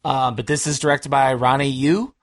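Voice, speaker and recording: A man co-hosting a podcast in casual chat, enthusiastic and rambling, his voice going hoarse. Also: medium pitch, steady tone, normal speed, no echo, clean studio sound. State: losing his voice. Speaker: podcast co-host.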